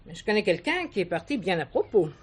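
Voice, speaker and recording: An actress playing a talkative, kindly village shopkeeper who adores cats and gossips in a confiding way in a television play. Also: female voice, medium pitch, very animated, fast, breathy edge. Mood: guarded, insinuating. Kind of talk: 1970s French television play dialogue.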